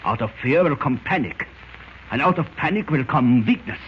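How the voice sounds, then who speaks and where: An actor playing a cold, fanatical German spymaster in a 1940s radio drama, with a German accent and a formal, deliberate, menacing manner. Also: male voice, mid-range voice, expressive, close to an everyday voice. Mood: cold, intent. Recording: scratchy, muffled old-time radio recording.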